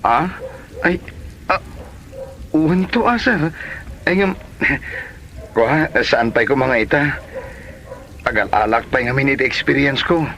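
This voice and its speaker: A man's voice: mid-range voice, lively intonation, slow pace, long pauses, fairly bright tone, a little hiss or hum, low-quality recording. A man playing a young mechanic and suitor in a comedy drama, by turns boastful and awkwardly deferential. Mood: nervous, respectful. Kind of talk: Ilocano comedy drama acting.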